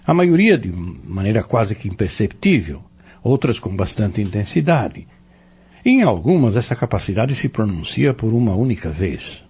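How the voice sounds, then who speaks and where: Male actor as earnest spiritual teacher, lively intonation, measured explanations, audio drama, dull muffled recording. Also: lowish voice, breathy edge, full character voice. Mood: patient, instructive. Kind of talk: audio drama dialogue, didactic.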